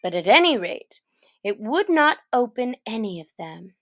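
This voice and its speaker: Lady reading a kids' story out loud, slow and gentle, kinda high voice. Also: very animated. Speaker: children's story reader. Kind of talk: read-aloud storybook narration.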